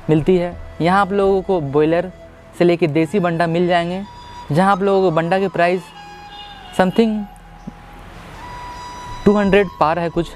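A young man with a high voice chats casually to his viewers while walking through an outdoor market. Music plays steadily behind him. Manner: chatty, addresses viewers directly. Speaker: vlogger.

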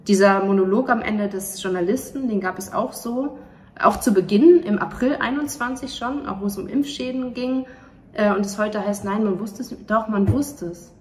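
A woman's voice: medium pitch, normal speed. Earnest woman in an echoey room. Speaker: playwright and actor, interview guest. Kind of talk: casual interview talk. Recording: echoey room, faint ambient noise.

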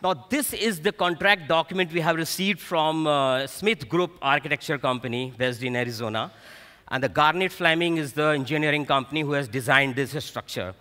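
A middle-aged man with an Indian accent explains technical material from a conference stage. His voice is fairly high and bright, with a halting, explanatory delivery. It rings through a large hall with long reverb. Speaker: technical director presenting at a conference. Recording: echoey large hall PA sound.

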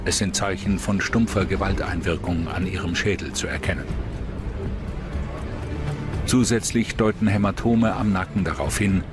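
Deep-voiced male true-crime narrator, music underneath. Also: normal speed, long pauses. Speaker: documentary narrator.

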